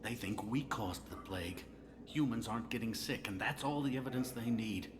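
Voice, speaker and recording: Actor as a low-voiced, worried refugee man. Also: moderate pace, music in the background, natural voice. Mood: aggrieved, fearful. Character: worried, embittered male human refugee. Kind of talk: video game dialogue acting.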